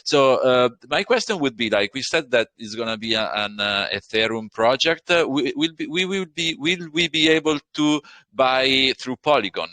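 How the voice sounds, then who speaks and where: A man's voice: mid-range voice, lively intonation, moderate pace, bright, forceful tone, no echo. A man moderating an online AMA as community host, polite and rambling with fillers.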